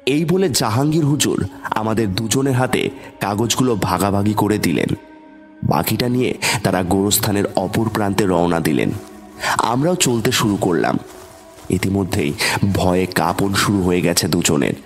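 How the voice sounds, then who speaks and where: A man's voice: on the low side, lively intonation, normal speed. A man narrating a scripted first-person horror story in a dramatic, suspenseful way. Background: background music.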